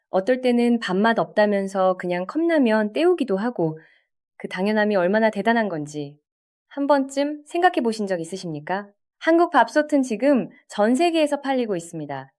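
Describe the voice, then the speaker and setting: A woman's voice: medium pitch, darkish tone. A woman narrating a scripted story in a conversational way, speaking directly to listeners as a storyteller would. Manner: conversational, addresses listeners with rhetorical questions.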